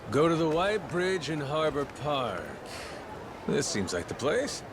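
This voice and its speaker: An actor playing a cocky middle-aged Yakuza turned pirate captain, with a brash, slangy drawl. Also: male voice, a little high, very animated, unhurried, noisy background. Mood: wry.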